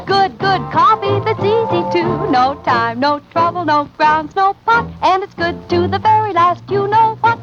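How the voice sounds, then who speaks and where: Perky ad character, extremely high, singsong, dull old recording, bouncy radio commercial. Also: female voice, expressive, a little slow, few pauses, over loud music.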